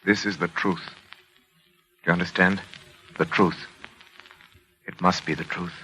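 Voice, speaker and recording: An actor playing a grave, controlling psychiatrist and husband in a 1940s radio drama, speaking formally and emphatically. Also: male voice, sits low, fairly even intonation, sharp tone, poor audio. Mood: grave, anguished. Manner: formal, emphatic, halting under strain. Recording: 1940s radio recording, music behind.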